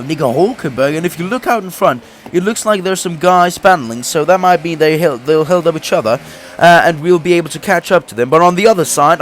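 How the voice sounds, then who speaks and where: A man's voice: a little high, slight background noise. Sick young man narrating a racing game. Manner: chatty, laughs often, many restarts. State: sick, voice a little off. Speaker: gaming YouTuber.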